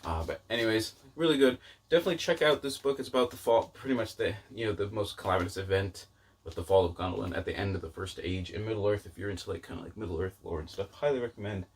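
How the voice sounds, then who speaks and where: Low-pitched man chatting casually about his hobby. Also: quick pace, husky. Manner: rambling, hedging, often doubles back mid-thought. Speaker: book vlogger and channel host. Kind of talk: casual chat to camera.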